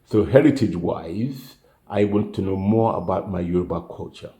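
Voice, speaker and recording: A man's voice: low voice, normal speed, dark tone, echoey room. An older man, a retiree vlogger, chatting casually to camera, rambling and correcting himself as he goes. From United Kingdom.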